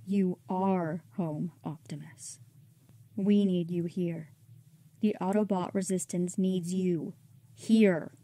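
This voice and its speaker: Woman as a mellow, grave robot resistance commander. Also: lowish voice, fairly even intonation, slow pace. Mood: urging. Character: stern, pleading female robot resistance commander. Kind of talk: dramatic comic-book dub.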